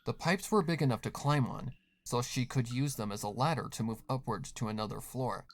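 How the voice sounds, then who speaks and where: A man's voice: mid-range voice, brisk. A man reading scripted third-person horror fiction as the narrator.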